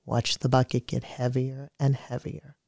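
A guided meditation narrator speaks in a middle register with a measured, soothing delivery. The reading is scripted and calm. The sound is close and dry, with very little room on it. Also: mid-range voice, creaky, no room sound.

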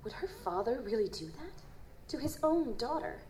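A gentle, earnest psychic, played by a woman, speaks with a soft-edged, mellow tone. Her delivery is hesitant and solemn, as in audio drama, with some background noise around her. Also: a little high, sing-song, moderate pace. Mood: somber, thoughtful. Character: earnest, gentle female psychic who reads ghostly impressions. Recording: live performance with background noise.

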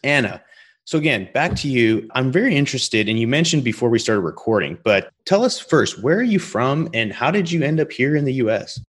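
A man from Canada hosting a podcast, chatting in a friendly, relaxed way with frequent restarts. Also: medium pitch, fairly quick, husky. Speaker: podcast host. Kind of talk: casual interview chat.

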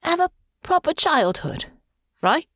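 Woman voicing a soft-spoken country crewman. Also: high voice, sing-song, measured pace, no room sound, clean studio sound, pitched above the performer's own voice, heavily acted. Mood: pensive. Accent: rural country dialect. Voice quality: soft, homey drawl. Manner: plainspoken, folksy grammar. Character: humble young male airship crewman, homey and wistful. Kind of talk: audiobook character voice.

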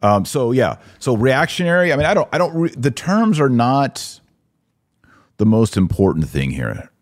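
A man's voice: mid-range voice, sing-song, normal speed, dry recording, clean studio sound. A man hosting a live podcast, giving casual commentary and explaining things conversationally to listeners. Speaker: podcast host.

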